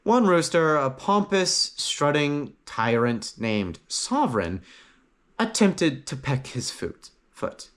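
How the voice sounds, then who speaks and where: Man narrating a story with lively, swooping pitch. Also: slow pace. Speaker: audiobook narrator.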